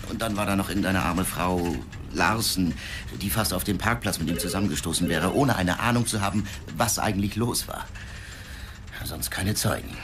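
An actor playing a stern, impatient newspaper boss in a radio drama, curt and commanding. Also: male voice, lowish voice, noisy background, natural voice.

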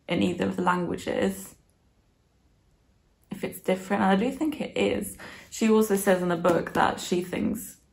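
Book vlogger: woman in her 20s, bilingual in German and English, lowish voice with plenty of fry, informal and reflective. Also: low-quality recording. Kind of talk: casual chat to camera, book review. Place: small living room, on a sofa indoors.

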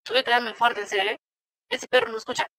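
A man using a voice-changing program to sound like a giggly, squeaky young woman. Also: a little fast, vocal fry, bright, forceful tone, dry recording, heavily acted. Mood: giggly chatter. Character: giggly high-pitched girlish voice "Cortana". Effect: voice changer pitch shift.

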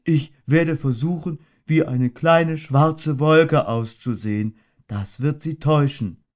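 A man putting on a high voice for a slow, earnest, muddled little teddy bear in a storybook reading. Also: a little mellow. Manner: slow, earnest, ponderous, sing-song.

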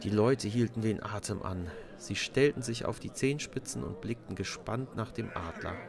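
A man's voice: fairly low pitch, moderate pace, gravelly, crowd noise. A man narrating a scripted children's story as a storyteller.

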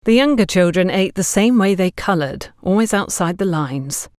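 Low-voiced woman narrating a story, dry sound. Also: expressive, normal speed, darkish tone, no room sound. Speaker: audiobook narrator.

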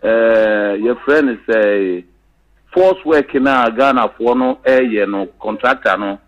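Older-sounding caller on a dull line. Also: male voice, medium pitch. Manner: reminiscing, halting with fillers. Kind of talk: call-in to a radio show.

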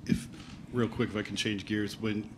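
A man's voice: fairly low pitch, level tone, normal speed, noisy background. A man, a sports reporter asking long questions at a press conference, restarting his phrases as he goes.

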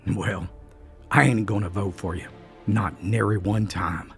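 Burly, hard-drinking backwoods farmer with a low, slow, grumbling drawl, as a man puts him on. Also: fairly even intonation, creaky, a bit bright, soft background music. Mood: sullen. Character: burly, gruff, drunk male mountain farmer.

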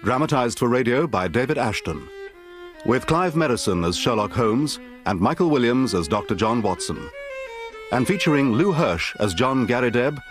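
A man announcing the title and cast of a radio drama in a measured, formal way. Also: medium pitch, expressive. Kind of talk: scripted announcement. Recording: music under the voice. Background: violin music.

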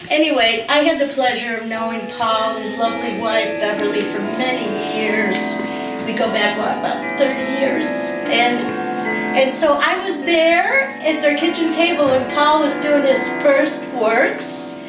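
Woman in her sixties, high voice, unhurried deliberate reading, echoey room from far off. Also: over loud music. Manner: slow, deliberate reading with self-corrections. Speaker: reader at a literary gathering. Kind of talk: reading a tribute aloud. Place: living room of a large house, indoors. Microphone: far camera.

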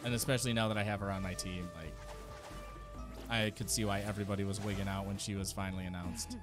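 A man's voice: lowish voice, level tone, fast talker. A man in his thirties giving enthusiastic, slangy live reaction commentary into a close mic. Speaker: streamer reacting to game trailers. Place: small home streaming room. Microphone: close desk microphone. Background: faint game trailer music.

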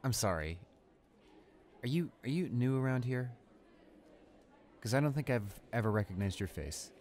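A man's voice: mid-range voice, expressive, moderate pace, darkish tone, slight background noise, lightly acted. A young man playing an arrogant, irritable student bully in an improvised audio roleplay, with a smug, dismissive manner. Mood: smug, condescending. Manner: smug, dismissive, muttering to himself.

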